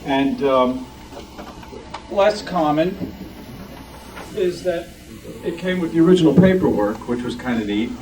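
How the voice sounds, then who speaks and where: A man giving an informal show-and-tell talk as a club member, with a halting, rambling delivery. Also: fairly high pitch, expressive, darkish tone, distant mic. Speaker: club member presenting vintage items. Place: club meeting room. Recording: noisy room, picked up from afar.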